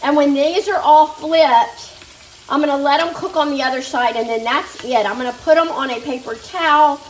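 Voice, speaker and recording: A woman's voice: high voice, normal speed, a little hiss or hum. A woman chatting casually to viewers while she cooks in her home kitchen, a little nasal as she gets over a fever. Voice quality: slightly nasal. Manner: chatty, talks to viewers as "guys" while working at the stove. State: recovering from a fever. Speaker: cooking vlogger. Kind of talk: casual chat while cooking. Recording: echoey kitchen, mic a bit far off. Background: sizzling meat in a skillet.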